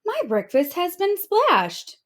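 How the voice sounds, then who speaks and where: A woman's voice: extremely high pitch, huge pitch swings, takes it slowly, a bit bright, voice pushed up, heavily acted. A woman voicing an indignant, huffy mother octopus in a storybook read-aloud. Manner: huffing, indignant.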